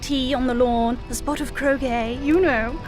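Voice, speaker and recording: A refined, aloof lady of leisure talks in a very high, prim voice. She is genteel and condescending, appraising all before her, with loud music under the comic game performance. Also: steady tone, measured pace. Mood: genteel complaint. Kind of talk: comic video game acting.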